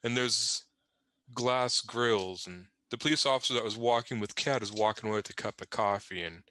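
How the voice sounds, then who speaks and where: A man's voice: on the low side, normal speed, bright, forceful tone, no echo. A man narrating comic panels off the cuff, with wry, rambling, conversational asides. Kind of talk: improvised humorous scene description.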